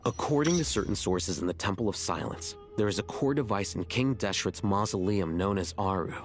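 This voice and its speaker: A man playing a serious, measured academic in a video game, with formal and deliberate delivery. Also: lowish voice, close to an everyday voice. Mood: grave, measured.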